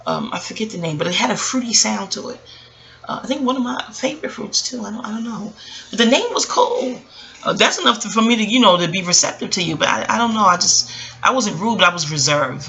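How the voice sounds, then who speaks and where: A woman in her early forties telling a personal story in a casual, reflective, rambling way, often digressing and acting out what people said. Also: medium pitch. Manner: rambling, digressive, animated retelling with acted-out quotes. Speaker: vlogger.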